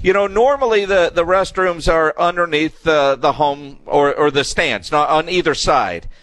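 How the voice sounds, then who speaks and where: A man's voice: a little high, moderate pace, a bit bright. A man hosting a sports radio show, chatting casually and telling teasing anecdotes. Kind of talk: casual chat.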